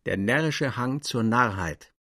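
A critical, educated gentleman in a radio drama. He declaims slowly and emphatically at a medium pitch, with lively swings in intonation. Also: no room sound, clean studio sound, full character voice. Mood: chiding. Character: reproachful male friend Ottmar, educated and critical. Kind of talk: literary radio drama dialogue.

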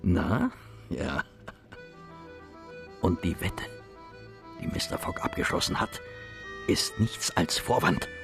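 An actor in a German radio play playing a scheming police detective who is insinuating, hesitant and then insistent. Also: male voice, deep voice, animated, takes it slowly, creaky, long pauses, music in the background, heavily acted. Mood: insistent, conspiratorial. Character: wily, pushy male police detective, coaxing and scheming. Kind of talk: German radio drama acting.

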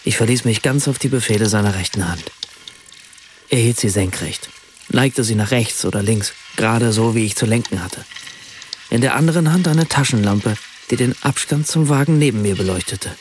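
A man playing a writer who narrates a radio play in a measured, precise way. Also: fairly low pitch, slight background noise, lightly acted. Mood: reflective, measured. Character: German writer narrating a remembered family evening in Rome.

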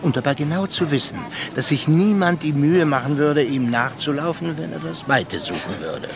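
An actor playing a worldly, reflective seafaring gentleman who narrates and talks in a measured way in a radio play. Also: on the high side, lively intonation, creaky, background noise. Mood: insistent.

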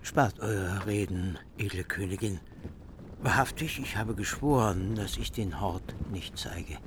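Low, grim captive warrior. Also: male voice, a little slow, noisy background. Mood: grim defiance. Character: stubborn captive male warrior, grim and unyielding. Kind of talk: radio play acting.

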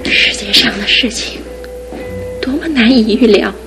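An actress playing a devoted young fiancée in a studio radio drama, speaking earnestly and with soft insistence. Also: female voice, fairly high pitch, a little slow, over music. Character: devoted young fiancée, gentle but resolute.

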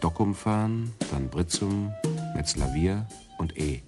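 An actor in a radio crime play, playing a blunt, matter-of-fact police detective. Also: male voice, low-pitched, expressive, slow, loud music behind the voice.

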